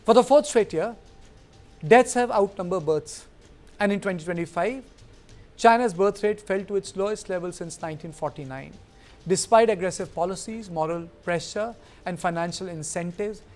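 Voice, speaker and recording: A man's voice: high-pitched, a little mellow. A man reading a scripted news voice-over in a formal, emphatic manner. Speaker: news narrator.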